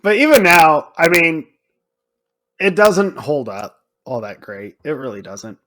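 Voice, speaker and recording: A man's voice: fairly high pitch, unhurried, bright, forceful tone, poor audio. A man co-hosting a podcast, chatting casually about films in an informal, emphatic way that repeats points for stress. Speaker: podcast co-host. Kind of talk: casual film discussion.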